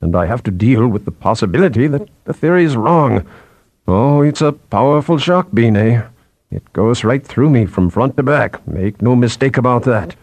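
A man's voice: medium pitch, lively intonation, moderate pace, darkish tone, no echo, voice pushed up. A man voicing a stern, austere old head scientist with an emphatic, commanding manner in an audiobook. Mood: reflective, frank. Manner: ominous, emphatic.

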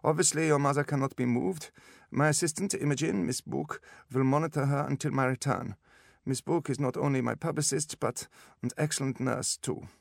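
A pompous German specialist, pitched up and clipped, dismissing relatives in a storybook sickroom corridor as a male narrator acts him out. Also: moderate pace, vocal fry, no room sound, studio quality, lightly acted. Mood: irritated, brisk. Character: sharp, impatient, haughty German male doctor.

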